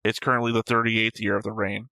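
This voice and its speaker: A man's voice: lowish voice, little pitch movement, quick pace, sharp tone, dry recording, low-quality recording. A man casually discussing a show as a reaction host, stating and correcting details in a matter-of-fact way. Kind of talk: casual discussion of an animated series.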